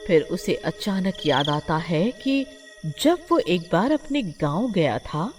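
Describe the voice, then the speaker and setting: Woman narrating a horror story, dramatic and lively pitch, takes it slow, music underneath.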